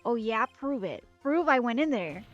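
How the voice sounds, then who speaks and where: A blustering, stammering witness caught in his own lie sputters his defence in a high, strained voice, voiced by a woman. The sound is slightly muffled, with game music beneath it. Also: sing-song, moderate pace. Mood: defensive. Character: shifty nervous male witness, blustering liar.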